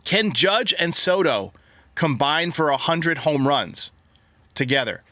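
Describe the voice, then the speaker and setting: A man's voice: fairly high pitch, expressive, takes it slowly, pushed and bright, dry recording. A man hosting a sports show, giving conversational baseball commentary to his listeners. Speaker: sports podcast host.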